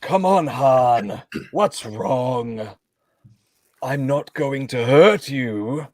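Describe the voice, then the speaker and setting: A man's voice: mid-range voice, extremely slow, a little mellow, dry recording, clean studio sound. A man voicing a male alien Sith villain, theatrical and petulant, in a comedic sci-fi table read. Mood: mock menace.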